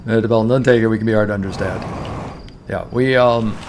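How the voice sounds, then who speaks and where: A man's voice: lowish voice, normal speed, darkish tone, slight background noise. A man from Toronto with a Canadian accent streams a game in casual chat, thinking aloud and correcting himself, his voice tired. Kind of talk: casual let's-play chat.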